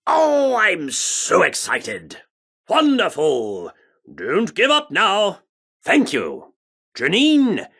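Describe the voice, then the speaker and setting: An actor playing a pompous, excitable British theatre director in a video game, speaking in grandiose, florid Shakespearean style. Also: male voice, sits high, gravelly, full character voice. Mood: excitable, flattering.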